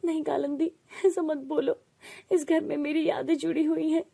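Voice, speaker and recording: A woman voicing a gentle, protective widowed mother in a family story, plaintive and pleading. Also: high voice, little pitch movement, moderate pace, gravelly, voice pushed up. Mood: tearful pleading.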